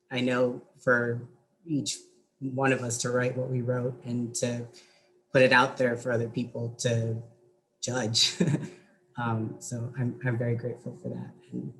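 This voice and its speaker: A young man speaks in an extremely flat, even pitch. He is hesitant and earnest as he gives unscripted thanks, with faint noise behind him. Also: low-quality recording. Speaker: law student accepting an award. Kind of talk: unscripted acceptance speech.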